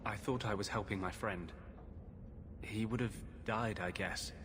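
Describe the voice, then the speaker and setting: An actor playing a tormented mage possessed by a vengeful spirit, speaking gravely and confessionally in a video game. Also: male voice, fairly low pitch, measured pace, noisy background, close to an everyday voice. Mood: troubled, confessional. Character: tormented male mage sharing his body with a vengeful spirit. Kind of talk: dramatic video game acting.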